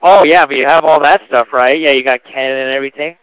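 A young man speaks casually on a prank call. His voice is clear and dryly recorded, with little room sound. He plays the earnest customer, slangy and full of questions. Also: mid-range voice, steady tone, brisk, fairly bright tone, no room sound, poor audio. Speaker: prank caller.

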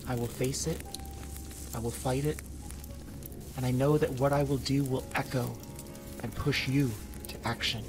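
A woman playing a stern, defiant ancient Norse seeress in an audio drama, speaking in solemn, measured, formal declarations. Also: a bass voice, fairly even intonation, rough recording. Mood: grave, defiant.

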